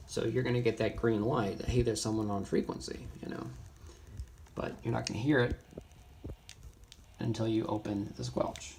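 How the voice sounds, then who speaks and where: A man's voice: lowish voice, fairly even intonation, moderate pace, long pauses, a little mellow. A man presenting a casual, hands-on radio tutorial, explaining things in an informal, rambling way. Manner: informal, rambling explanations with frequent "you know". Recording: noisy, with keyboard and clicking sounds.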